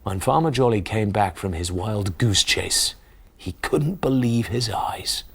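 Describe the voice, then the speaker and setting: Husky, unhurried, expressive male storyteller. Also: lowish voice. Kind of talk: expressive children's bedtime story reading. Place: small TV studio kitchen set, indoors. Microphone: lapel mic.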